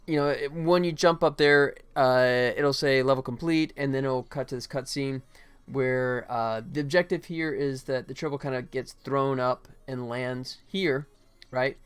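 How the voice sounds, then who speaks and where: A man's voice: medium pitch, fairly even intonation, a little slow, smooth. A man hosting a casual game-development livestream, talking informally and thinking aloud as he works. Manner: informal, conversational, thinking aloud while working.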